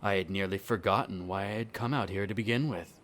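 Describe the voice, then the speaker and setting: A man's voice: fairly low pitch, a little fast, fairly bright tone, slight background noise, close to an everyday voice. A man acting a smug, contemptuous aristocratic vampire in an audio roleplay, with formal, disdainful diction. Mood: wry, dismissive.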